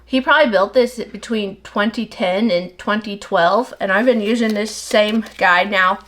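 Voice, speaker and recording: A middle-aged woman soap maker with a slightly nasal voice, chatting casually and rambling as she works, talking fast without pausing for breath. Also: mid-range voice, a bit bright. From United States. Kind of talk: casual chat while working.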